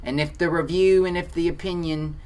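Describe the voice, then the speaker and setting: Excitable teen with Kentucky twang. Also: male voice, on the high side, brisk, clean tone. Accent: Kentucky country. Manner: excitable, chatty, defensive then joking. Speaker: photography channel host. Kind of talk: casual Q&A reading comments. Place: home office studio.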